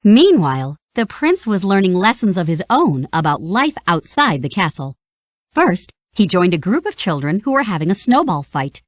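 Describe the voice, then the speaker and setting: A woman reading a children's read-along story in an expressive, scripted storytelling style. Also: on the low side, normal speed, dry recording, clean studio sound. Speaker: storybook narrator.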